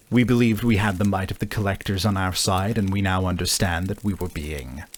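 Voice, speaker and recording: A grave gang envoy in a tabletop game, acted out by a man. The voice sits low and moves slowly, with formal, measured wording and a solemn bearing.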